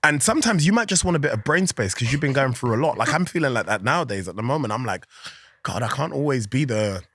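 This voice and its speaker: Guest: man, animated, loose and chatty, close dry mic. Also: medium pitch, fast talker, husky, no echo. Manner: animated, chatty, laughs often, many fillers. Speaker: podcast guest, organisation founder. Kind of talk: casual interview chat.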